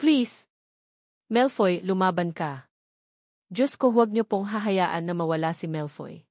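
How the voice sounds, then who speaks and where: A woman's voice: fairly low pitch, unhurried, a little mellow, no echo, clean studio sound. Woman voicing a frantic, imploring Filipina friend. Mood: desperate pleading. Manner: desperate, imploring. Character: frantic, devoted woman praying for her friend. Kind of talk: romance audiobook dialogue.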